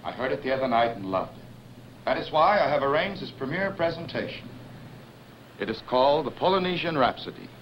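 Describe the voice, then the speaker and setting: A man's voice: medium pitch, lively intonation, a little fast, muffled recording, natural voice. Man as a formal club host, mid-range voice, announcing tone, faint hiss of old film audio. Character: smooth nightclub host introducing a premiere.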